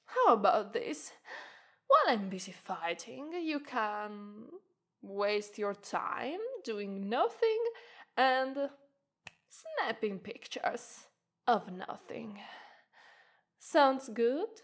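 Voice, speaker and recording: A woman voicing a playful, flirtatious witch in an ASMR roleplay, speaking slowly and teasingly, with an Italian native tongue. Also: on the high side, very animated, fairly bright tone, heavily acted. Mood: playfully menacing.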